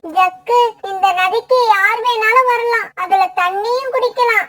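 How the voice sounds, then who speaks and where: A little boy bird in a children's cartoon, played by a woman. The voice is piercingly high and bright, with an innocent, plaintive childlike manner, slightly off the mic. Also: a little slow, recorded from a distance. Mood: puzzled, innocent. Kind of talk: children's cartoon story acting.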